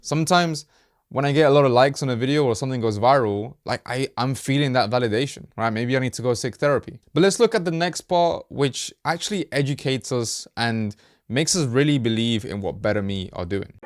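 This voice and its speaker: A man from Colombia whose first language is Spanish, hosting a casual explainer and breaking things down conversationally, with quick mock-voiced asides. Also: mid-range voice, no echo, clean studio sound. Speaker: host, ad creative marketer. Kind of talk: casual explainer talk to camera.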